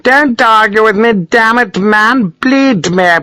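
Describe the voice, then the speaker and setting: Irascible colonel: high, blustering bark, imperious, animated, historical novel audiobook. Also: male voice, unhurried, dry recording, studio quality, exaggerated voice. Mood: impatient, commanding. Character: pompous, bullying, irascible English colonel barking orders.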